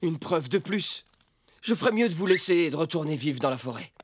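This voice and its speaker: A man's voice: fairly high pitch, lively intonation, a little fast, no room sound. A man voicing an aging male cartoon fox who grumbles with melodramatic, self-pitying resignation. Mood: resigned, self-pitying. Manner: grumbling, theatrically resigned old-timer. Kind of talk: French animated cartoon dialogue. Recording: music behind the voice.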